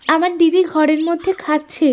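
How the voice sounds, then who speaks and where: Very high-voiced, meek little girl. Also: little pitch movement, moderate pace, a little mellow. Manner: pleading, obedient. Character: meek, dutiful young stepdaughter, sweet and sorrowful. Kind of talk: cartoon voice acting. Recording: music behind the voice.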